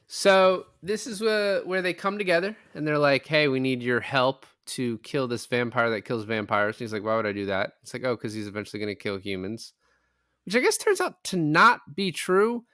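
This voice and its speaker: A man's voice: medium pitch, sing-song, normal speed, pushed and bright, no room sound, studio quality. A man in a casual film discussion, retelling things in a rambling way with some swearing.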